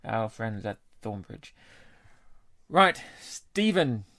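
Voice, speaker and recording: A man's voice: on the low side, very animated, extremely slow, fairly bright tone, dry recording. A British man co-hosting a podcast in a casual, jokey and matey way. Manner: jovial, matey. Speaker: podcast co-host.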